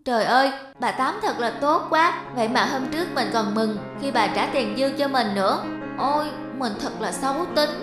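Woman as a high-voiced, sheepish little schoolboy. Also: normal speed, lightly acted. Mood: ashamed. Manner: childlike, defensive, sheepish. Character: careless but repentant young schoolboy. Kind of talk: cartoon dubbing. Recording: music behind the voice.

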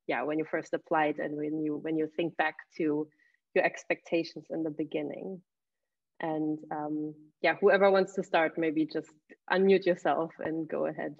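Low-voiced woman moderating a call. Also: gravelly, phone-quality sound. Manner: friendly, halting, with fillers. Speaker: event moderator. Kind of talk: hosting a remote panel. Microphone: video call.

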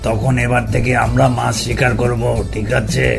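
A man voicing a bossy ogre demon chief in a cartoon, with a gravelly, creaky voice. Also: mid-range voice, flat delivery, normal speed, over music, lightly acted. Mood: eager, conspiring. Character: bossy male ogre demon leading his minions. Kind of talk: Bengali cartoon dubbing.

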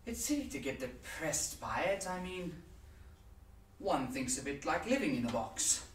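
A young man acting a morbidly musing, rambling character in a halting theatre monologue. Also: fairly high pitch, very animated. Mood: uneasy musing. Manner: halting, conversational musing with swings in volume. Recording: roomy, distant mic with noise.

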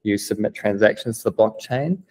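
A man's voice: on the low side, normal speed, warm tone. A young man with a New Zealand accent, explaining things eagerly on a remote video call, with many "um" fillers. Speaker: guest, business developer. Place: plain-walled room indoors.

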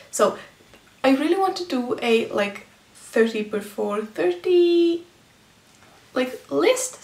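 Slow-talking young woman, echoey room. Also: on the high side. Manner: chatty, lots of "like" and "um" fillers. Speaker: vlogger. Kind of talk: casual chat to camera. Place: small indoor room. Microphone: camera framed head and shoulders.

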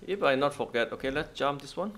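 A man's voice: medium pitch, lively intonation, quick pace, a bit bright, faint music behind. A man giving casual gameplay commentary in non-native, broken English, thinking aloud in short, repeated phrases. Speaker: gaming video host.

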